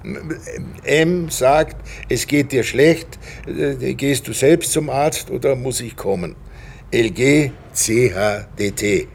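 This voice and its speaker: An actor playing a dry, easily amused middle-aged police inspector in a naturalistic radio drama, speaking conversationally with some hesitation. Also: male voice, medium pitch, slow pace, gravelly, a little hiss or hum. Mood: amused, flustered. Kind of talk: naturalistic German radio drama, with inner monologue.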